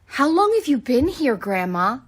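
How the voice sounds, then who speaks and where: A woman's voice: high-pitched, very animated, a little slow, slightly rough, full character voice. A woman playing an earnest, emotional young space lieutenant in a radio drama. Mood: happy, respectful.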